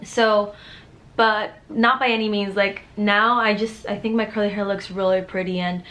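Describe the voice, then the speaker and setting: A woman's voice: medium pitch, flat delivery, normal speed, fairly bright tone. A young woman in her twenties from the Dominican Republic, talking openly to her camera in a small bedroom, earnest, with many fillers and restarts. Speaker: vlogger. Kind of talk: candid sit-down vlog. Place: small bright bedroom, indoors.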